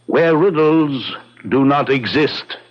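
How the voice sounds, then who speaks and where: An actor playing a brilliant, teasing detective with a deliberate, superior manner in a 1970s radio drama. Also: male voice, a little high, over loud music. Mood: smug. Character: brilliant Parisian detective, smug, teasing, riddling. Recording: muffled vintage radio broadcast.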